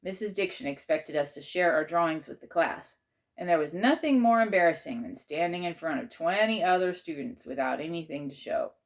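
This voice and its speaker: A woman narrates a children's storybook in a fairly low register. Her delivery is animated and dramatized, with pitch that moves freely as she reads in a child's first person. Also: fairly quick. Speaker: author and teacher reading aloud.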